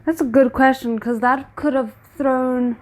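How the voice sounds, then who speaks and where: Casting: female reaction host, somewhat high-pitched, informal, musing and hesitant as she thinks aloud. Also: steady tone, unhurried, rough recording. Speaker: reaction host commenting on a drama episode. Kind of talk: casual reaction commentary.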